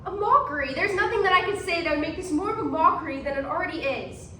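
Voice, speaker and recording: A woman playing a defiant, scornful accused witch, speaking in a forceful, declamatory stage style. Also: very high-pitched, lively intonation, quick pace, heavily acted. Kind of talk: theatrical readers theatre acting. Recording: echoey hall from far off, noisy.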